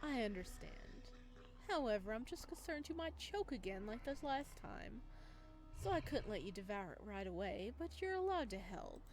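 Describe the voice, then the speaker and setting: A woman voicing a sinister male florist in a horror game, speaking softly with an unsettling calm, sometimes close to a whisper. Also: fairly high pitch, moderate pace, faint music behind. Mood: tender.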